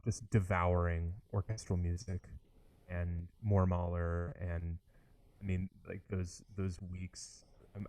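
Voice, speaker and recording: Low, flat-voiced young man. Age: young adult. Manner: chatty and eager, many likes and restarts. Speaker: orchestral trombonist, interview guest. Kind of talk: casual interview conversation.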